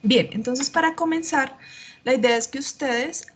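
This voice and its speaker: A woman's voice: high voice, moderate pace, slightly rough. A woman chemistry tutor, explaining patiently in an online class despite a slight cough and sore throat. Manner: patient, explanatory, frequent filler sounds. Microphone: computer mic on a video call.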